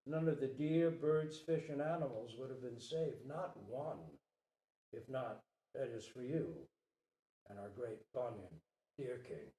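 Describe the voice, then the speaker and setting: Man as gentle storybook doe, motherly, reassuring, lilting intonation, distant mic. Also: mid-range voice, lively intonation, a little slow, natural voice. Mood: quiet reassurance. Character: gentle mother doe, tender and quietly wise. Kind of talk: dramatic storybook reading. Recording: roomy echo, distant microphone.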